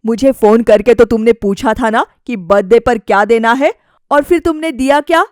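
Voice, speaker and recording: A woman voicing a bossy, thrifty husband who complains forcefully in a family story. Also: a little high, normal speed, dry recording, clean studio sound, lightly acted. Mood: annoyed. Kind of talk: acted dialogue in a family story.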